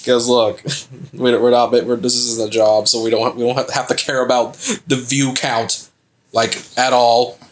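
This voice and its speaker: A man's voice: medium pitch, little pitch movement, a little slow. A young man in his twenties co-hosting a gameplay video, chatting casually with laughs and rambling restarts. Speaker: let's play co-host.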